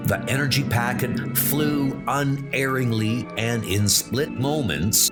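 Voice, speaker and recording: A man's voice: mid-range voice, takes it slowly. A man narrating a comic book adventure in a dramatic, theatrical, scripted style. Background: music.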